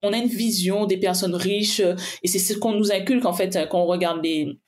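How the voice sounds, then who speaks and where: A woman finance coach speaks as a guest in a relaxed conversation, working through her ideas aloud. She is pragmatic and reflective, often restarting her sentences as she thinks, and her voice sits at a middle pitch. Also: a little fast. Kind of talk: casual conversation.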